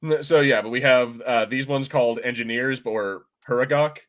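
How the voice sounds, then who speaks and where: Guy podcast host, mid pitch, rambly n halting, just casually explaining stuff. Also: fairly bright tone. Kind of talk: casual pop-culture discussion, explaining game lore.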